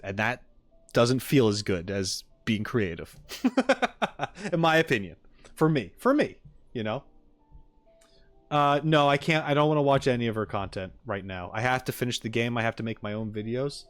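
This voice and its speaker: A male streamer chats casually with his audience in a mid-pitched voice. He rambles and quotes others emphatically, recorded dry and close to the microphone. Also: moderate pace, dry recording, faint music behind. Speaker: streamer reacting to game videos. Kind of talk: casual chat with viewers, theorizing.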